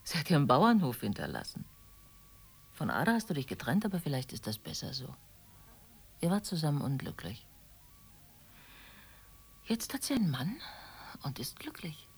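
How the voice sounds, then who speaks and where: Husky, low-voiced, pragmatic middle-aged woman. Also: huge pitch swings, moderate pace, long pauses, exaggerated voice. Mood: reasoning, consoling. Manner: matter-of-fact, reasoning. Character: pragmatic middle-aged mother, calm, frank, consoling friend. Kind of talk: naturalistic German radio drama dialogue.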